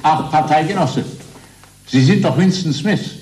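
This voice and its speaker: An actor playing a polite, confidential party member in a radio drama, speaking in a courteous, measured way. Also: male voice, fairly high pitch, darkish tone, slight background noise, natural voice. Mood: smooth, confidential. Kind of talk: 1970s German radio play acting. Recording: roomy, echoing space.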